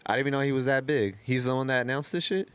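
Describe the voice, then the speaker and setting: A man's voice: medium pitch, rapid-fire, clean tone, dry recording. A man co-hosting a podcast, talking casually with lots of slang and swearing. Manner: slangy, profane. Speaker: podcast co-host. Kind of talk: casual chat.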